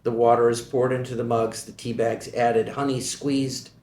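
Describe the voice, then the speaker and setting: A man's voice: mid-range voice, level tone, normal speed, a little mellow. Man reading stage directions in an echoey room. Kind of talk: screenplay table read narration.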